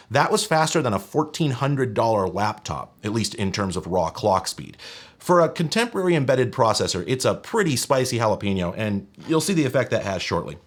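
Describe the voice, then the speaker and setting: Casual, wry man explaining tech. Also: medium pitch. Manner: casual, wry, nerdy technical detail. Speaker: host of a retro tech video channel. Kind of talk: conversational explainer narration to viewers.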